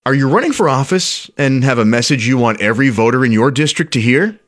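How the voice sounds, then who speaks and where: A man's voice: mid-range voice, lively intonation, a little fast. A man reading a persuasive ad in a salesman-like way. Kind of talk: persuasive ad read.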